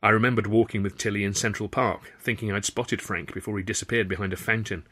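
A man reading his own first-person novel aloud as a wry, expressive storyteller. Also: fairly low pitch, fast talker. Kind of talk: first-person audiobook narration.

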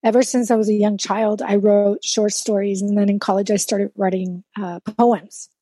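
A woman's voice: mid-range voice, level tone, moderate pace, dark tone, no echo. Reflective Spanish-native woman guest, dry sound. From South Texas. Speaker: guest, coach and speaker. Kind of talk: casual interview chat.

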